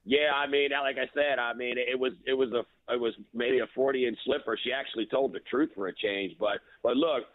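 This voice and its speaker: A man's voice: medium pitch, fairly even intonation, brisk, few pauses. An American man calling in to a radio show, giving blunt, opinionated casual talk and repeating words for emphasis. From eastern United States (New Jersey, Maryland, Virginia). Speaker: radio call-in listener. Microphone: phone. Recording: tinny narrow phone line.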